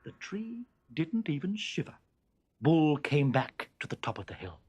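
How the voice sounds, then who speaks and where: Male storybook narrator: measured pace, lively pitch, suspenseful delivery that relishes each sound word. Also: breathy edge. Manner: expressive, suspenseful, savouring the sound words. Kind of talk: dramatic children's story narration.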